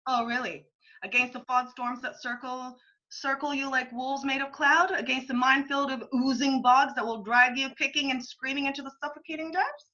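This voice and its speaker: A woman's voice: high-pitched, fairly even intonation, normal speed, sharp tone. A woman playing a cheeky, sardonic male stage actor who needles colleagues in a comic play reading. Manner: sarcastic, needling. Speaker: amateur actor in a remote group reading. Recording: dull, lo-fi internet call recording.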